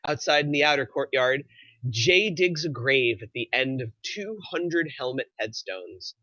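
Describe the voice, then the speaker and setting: A man reads stage directions as narrator of a script table read. His voice is medium-pitched with a bright, crisp edge. The recording is dry and a little dull. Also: lively intonation, measured pace, no room sound.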